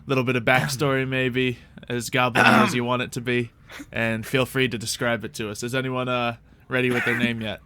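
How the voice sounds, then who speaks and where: A man's voice: medium pitch, level tone, fairly quick, few pauses, bright, forceful tone, clean tone. A man running a tabletop role-playing game, casually explaining rules and characters to the players. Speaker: game master.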